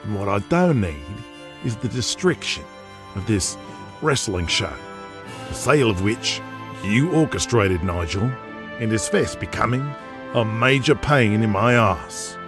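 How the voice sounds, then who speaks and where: A man's voice: fairly low pitch, expressive, unhurried, music in the background. A man playing a brash, boastful, profane Australian big-game hunter and media tycoon in an audio drama, with an intimidating manner. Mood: commanding, scornful.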